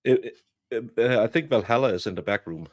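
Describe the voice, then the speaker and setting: Halting man giving opinions. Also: mid-range voice, a little mellow. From Denmark. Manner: halting, repeats words, frequent fillers. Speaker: guest commentator. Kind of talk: casual opinion chat.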